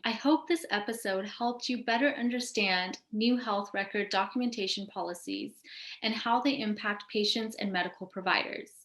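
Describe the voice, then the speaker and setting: A woman's voice: mid-range voice, normal speed, a bit bright. A woman presenting as a show host, reading prepared questions and remarks in a scripted interview style. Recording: roomy, echoing sound.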